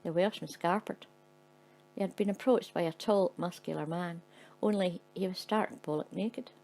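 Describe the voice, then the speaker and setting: Woman storyteller: dry, matter-of-fact narration, lowish gravelly voice. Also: normal speed, darkish tone, soft background music, rough recording. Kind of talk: scripted narration.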